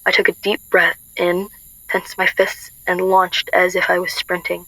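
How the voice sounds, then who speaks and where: A woman's voice: fairly low pitch, monotone, measured pace, very noisy. A woman playing a frightened but determined young woman on the run in a first-person radio drama. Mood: tense.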